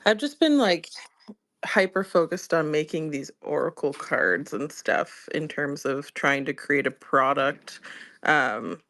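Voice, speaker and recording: A man's voice: a little high, expressive, unhurried, bright, forceful tone. A man hosting a live-streamed talk show, speaking hesitantly with many fillers as he thinks aloud about his plans. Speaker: show host. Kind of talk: casual conversation on a live stream.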